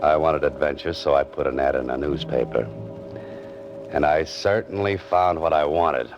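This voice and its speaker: An actor playing a wry young fiction writer caught up in crime, in 1940s radio style with an American accent and a sardonic, hard-boiled delivery. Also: male voice, rumbling low, normal speed, dull audio, over music. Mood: wry, brooding. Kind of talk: 1940s radio acting, first-person noir narration.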